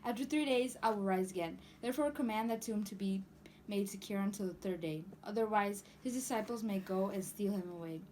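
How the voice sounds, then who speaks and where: A woman's voice: mid-range voice, brisk, darkish tone, lightly acted, poor audio. A woman playing a mocking, scheming chief priest in a dramatic scripture reading, delivered as plain declaration. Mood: urgent, wary. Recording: faint background noise.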